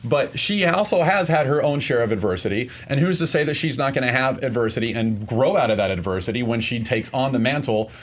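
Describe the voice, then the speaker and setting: A man debating casually as a contestant, argumentative and often restarting his points in a halting way. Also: mid-range voice, expressive.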